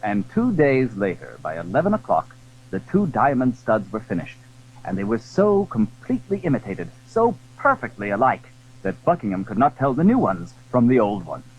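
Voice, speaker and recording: A man narrating a dramatized period story in a formal, measured, expressive storytelling style. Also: mid-range voice. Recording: dull, hissy old audio drama recording.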